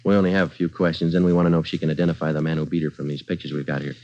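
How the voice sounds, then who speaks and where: An actor playing a terse police detective sergeant who narrates the case in a clipped, matter-of-fact way in a 1940s radio drama. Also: male voice, very deep voice, little pitch movement, racing, mellow. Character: terse, deadpan adult male police sergeant. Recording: dull 1940s radio broadcast with music.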